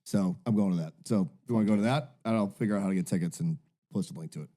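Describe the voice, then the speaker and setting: A man's voice: lowish voice, rapid-fire, dark tone. A man, co-hosting a podcast, rambling through a story with lots of fillers and swearing. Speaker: podcast co-host. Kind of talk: casual chat.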